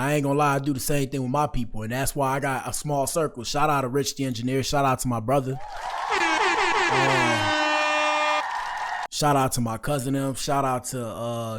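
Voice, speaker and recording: An American man hosts a livestream in a mid-pitched voice. He talks informally and full of slang, addressing his viewers directly as he comments. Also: very noisy. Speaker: livestream host reacting to clips.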